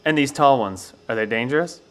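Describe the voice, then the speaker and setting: An actor playing an earnest professor among survivors in an audio drama, asking precise, probing questions. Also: male voice, mid-range voice, fairly quick, a bit bright, natural voice. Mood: curious, probing. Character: earnest, inquisitive male professor leading a band of survivors.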